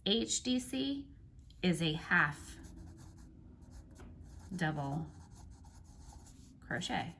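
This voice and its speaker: A woman from North Carolina, hoarse and stuffy from allergies, explaining crochet abbreviations in a casual tutorial. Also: fairly low pitch, lively intonation, bright, forceful tone, a little hiss or hum. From North Carolina, United States. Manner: slow, careful spelling out of abbreviations. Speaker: crochet teacher.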